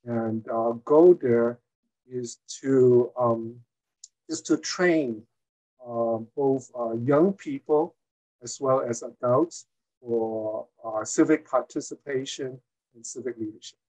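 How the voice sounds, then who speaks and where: Slow, measured older man. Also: mid-range voice, a little mellow, poor audio. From Hong Kong. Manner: measured, with frequent "uh" pauses. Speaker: guest, former mayor and retired college teacher. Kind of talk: interview answer, recounting his career.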